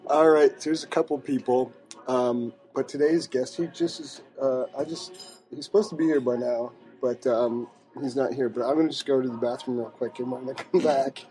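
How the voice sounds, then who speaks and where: A man's voice: mid-range voice, fairly even intonation, warm tone, faint music behind, low-quality recording. A man hosting a casual podcast chat from a restaurant, talking in a chatty, easygoing way. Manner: chatty and quick to laugh. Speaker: podcast host.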